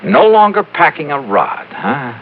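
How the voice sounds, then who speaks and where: An actor playing a hard-boiled insurance investigator in a 1950s radio drama, with an American accent and a clipped, dry, assured delivery. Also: male voice, on the high side, big pitch swings, measured pace, slight background noise. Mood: accusing. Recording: muffled old radio broadcast, loud music.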